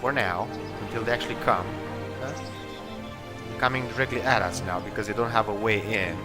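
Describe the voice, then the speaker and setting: A man's voice: on the low side, brisk. A man giving casual, improvised commentary on a game, hesitantly thinking aloud as he decides his moves. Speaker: let's play host. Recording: game music under commentary. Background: video game music and battle sounds.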